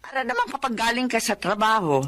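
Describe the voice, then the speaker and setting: A woman's voice: a little high, expressive, quick pace, a bit bright, a little hiss or hum. Worrying Filipina mother. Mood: caring, worried. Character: loving, worrying mother of a grown daughter. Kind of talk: Filipino radio drama acting.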